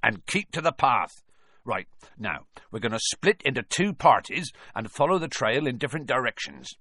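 A man reading an audiobook aloud, voicing a huge, gruff but kindly male gamekeeper with a rustic dialect. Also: mid-range voice, sing-song, moderate pace, bright, forceful tone, dry recording, full character voice. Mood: earnest.

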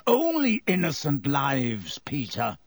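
A man voicing a fierce, embittered gaunt man in an audiobook, harsh and croaky, with forceful accusing delivery. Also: medium pitch, sing-song, slow pace, no room sound, studio quality, faint music behind, exaggerated voice. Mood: righteous fury. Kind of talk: audiobook character voice.

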